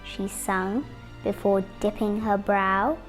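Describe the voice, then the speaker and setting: An eight-year-old girl reading a children's picture book aloud, expressively and with deliberate pauses. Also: medium pitch, steady tone, soft background music. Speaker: young storybook reader.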